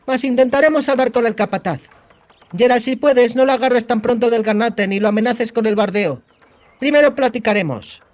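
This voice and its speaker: A man's voice: very high-pitched, moderate pace, pitched above the performer's own voice. A man voicing a brash, foul-mouthed, streetwise young woman who talks in cheeky slang. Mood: brisk, cautioning.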